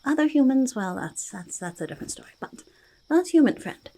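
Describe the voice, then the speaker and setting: Creaky, whispery little goblin. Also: female voice, sits high, very animated, mellow. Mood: mischievous, reassuring. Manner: halting, repetitive, third-person speech with drawn-out words. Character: quirky, eager, faintly menacing little goblin, friendly to humans. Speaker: ASMR performer in character. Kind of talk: soft-spoken and whispered ASMR roleplay.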